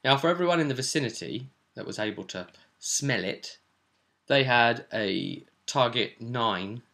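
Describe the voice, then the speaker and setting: A man in the role of game master, talking through a fantasy tabletop session. His voice is bright and forward, with a creaky, gravelly edge at a middling pitch. He tells it in a casual, rambling style with plenty of description. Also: animated, unhurried.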